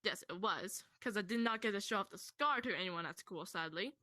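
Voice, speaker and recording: A young woman casually telling a personal story to a friend in a rambling, animated way, swearing freely. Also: medium pitch, a little fast, no room sound, studio quality.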